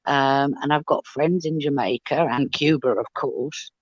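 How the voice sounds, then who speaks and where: A man's voice: medium pitch, measured pace, no room sound, highs cut off. A man chatting casually on an online panel, friendly, with laughs between phrases. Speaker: panel guest.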